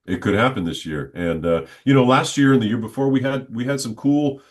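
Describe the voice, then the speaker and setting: A middle-aged man, a stadium announcer, telling stories in a relaxed, good-humored interview. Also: mid-range voice, brisk. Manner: good-humored, reflective, talks at length. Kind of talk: casual storytelling in an interview.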